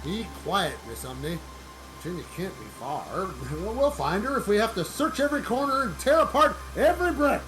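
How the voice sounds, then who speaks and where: Casting: a man to play an anxious head of household for radio drama, authoritative, brisk, very varied pitch. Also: sing-song, fairly bright tone, soft background music, low-quality recording. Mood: firm, urgent. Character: commanding, worried middle-aged father of the household. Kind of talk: theatrical radio play acting.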